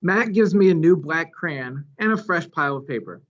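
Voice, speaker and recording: Lively male storyteller, slightly muffled recording. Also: a little high, moderate pace. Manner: animated, dramatic phrasing. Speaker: storyteller reading a children's novel. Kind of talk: expressive read-aloud narration. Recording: dull, lo-fi recording.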